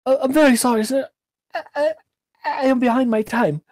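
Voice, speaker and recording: A man's voice: moderate pace, darkish tone, no echo. A man voicing a meek male clerk in a storybook, nervous, stammering and deferential. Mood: nervous, apologetic. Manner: stammering, high-pitched and timid.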